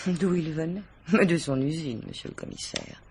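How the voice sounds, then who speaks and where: An actress playing a worldly, composed middle-aged married mistress questioned by police in a French radio mystery, with measured, formal diction. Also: female voice, low voice, huge pitch swings, vocal fry, a little mellow, slightly rough, exaggerated voice. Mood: proud, uneasy.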